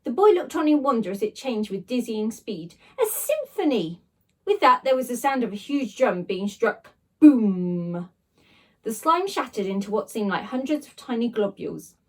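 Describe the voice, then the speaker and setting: A woman reading a children's story aloud like a teacher, with dramatic, expressive delivery and emphatic sound words. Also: fairly high pitch, moderate pace. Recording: voice a little distant from the microphone.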